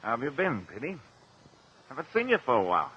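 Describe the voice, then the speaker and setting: A man's voice: medium pitch, huge pitch swings, fast talker, lo-fi sound. A man with an American accent playing a falsely friendly, menacing gangster, casual and taunting, in a vintage crime radio drama. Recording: noisy old radio drama recording.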